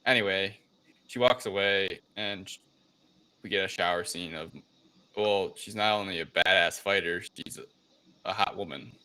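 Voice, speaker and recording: A man's voice: deep voice, normal speed, pauses often, pushed and bright, smooth, low-quality recording. A man co-hosting a casual movie review chat, rambling informally through a plot recap and sometimes swearing. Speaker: podcast co-host.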